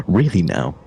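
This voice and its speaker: A man with a low voice narrating a tabletop game as game master and chatting casually between descriptions. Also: creaky, slight background noise. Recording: soft video game music behind. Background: soft video game music.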